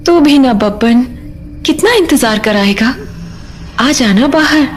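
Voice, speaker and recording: A woman's voice: high voice, lively intonation, takes it slowly, faint music behind. A woman playing an undead village mother in a horror audio drama, sweetly coaxing and teasing as she lures people out.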